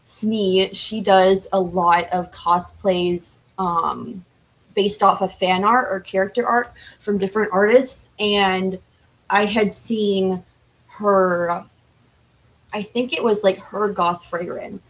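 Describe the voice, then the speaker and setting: A woman's voice: mid-range voice, steady tone, slow, rough recording. A woman speaking as a podcast guest in an informal, enthusiastic, chatty way. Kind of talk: casual chat.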